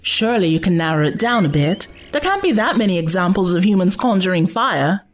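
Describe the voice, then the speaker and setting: An actress playing a level-headed, plucky companion to a time traveler in an audio drama, frank and diplomatic. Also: female voice, fairly low pitch, lively intonation, brisk, slight background noise, close to an everyday voice. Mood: questioning.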